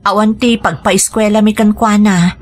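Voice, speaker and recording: A woman's voice: mid-range voice, fairly even intonation, normal speed, close to an everyday voice. An actress with an Ilocano Filipino accent playing a burdened, resigned mother in a radio drama, plaintive and apologetic. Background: music.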